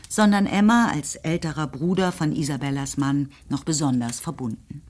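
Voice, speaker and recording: A woman narrator reading literary prose for a radio play in a measured, expressive storytelling manner. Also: low-pitched. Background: faint music.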